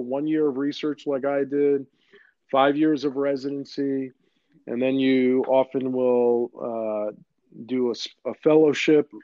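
Surgeon guest from New York, Greek native speaker; warm low tone, dry close sound, explanatory and unhurried. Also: male voice, dry recording, dull audio. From Queens, New York. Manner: measured, explanatory, with frequent "uh" and "um". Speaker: orthopedic surgeon, podcast guest. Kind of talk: conversational interview answers.

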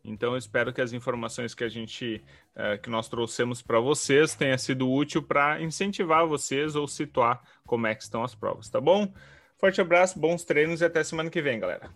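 A man's voice: medium pitch, a little fast, fairly bright tone. A man co-hosting a podcast, friendly and joking with fillers. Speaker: podcast co-host. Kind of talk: casual podcast chat.

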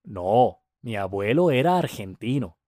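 A man's voice: medium pitch, very animated, unhurried, dark tone, no room sound, studio quality. A man voicing a polite, thoughtful male museum visitor in a storybook reading. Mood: polite, friendly.

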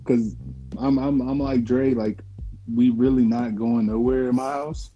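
A man's voice: medium pitch, steady tone, unhurried, dark tone, dull audio, soft background music. A man in casual podcast chat, rambling with many fillers and restarts.

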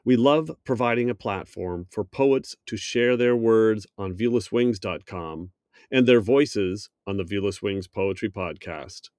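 A man's voice: lowish voice, a little mellow, no room sound, studio quality. A man hosting a podcast, reading a scripted introduction. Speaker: podcast host. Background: music.

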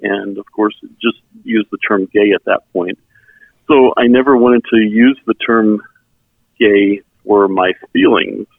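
A middle-aged man from South Texas whose first language is German, telling his personal story in an earnest, halting way as an interview guest. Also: lowish voice, steady tone, creaky, dry recording. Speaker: interview guest, member services volunteer. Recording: tinny phone-like sound, band-limited.

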